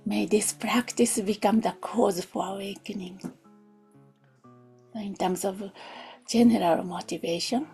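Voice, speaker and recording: Female meditation teacher, very breathy and mellow, unhurried and hushed, music underneath. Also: mid-range voice. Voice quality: soft, breathy, often near a whisper. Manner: gentle, unhurried, hushed with long pauses. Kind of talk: guided meditation.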